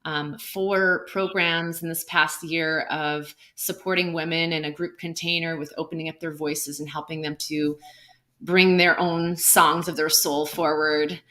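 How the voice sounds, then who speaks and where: A woman musician from California talking in a gentle, earnest way over a video call, laughing easily. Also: deep voice, level tone, unhurried. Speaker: musician guest. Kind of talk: singing then casual chat. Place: home, at a window with trees outside.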